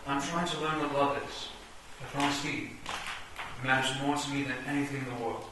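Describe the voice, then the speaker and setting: A man's voice: mid-range voice, level tone, moderate pace, lightly acted. Man acting earnest chess piece, echoey stage. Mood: pleading. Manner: earnest, declamatory. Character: earnest male chess piece, former Hamlet, yearning for love. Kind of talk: live radio play acting. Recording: echoey noisy stage, far from mic.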